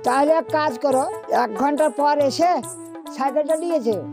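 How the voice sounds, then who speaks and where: A man's voice: very high voice, moderate pace, over music. A man playing an older, matter-of-fact village bicycle repairman in an amateur family drama. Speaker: actor.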